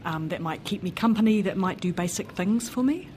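A woman's voice: lowish voice, expressive, fairly quick, darkish tone, slight background noise. A woman with a New Zealand accent, a radio presenter interviewing and narrating.

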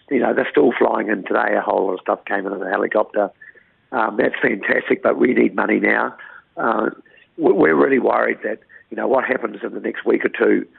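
A New Zealand man speaking as a town mayor in a phone interview, with a croaky voice and an earnest, rambling way of talking that restarts words. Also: fairly low pitch, brisk, no echo. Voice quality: croaky, gravelly voice. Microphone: phone line. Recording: tinny phone line, dry.

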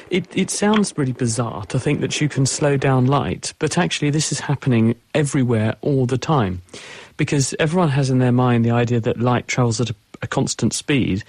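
A man's voice: mid-range voice, a little mellow, breathy edge, no echo. A man on a radio call-in show, patiently explaining science to callers in a studio. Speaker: science guest expert.

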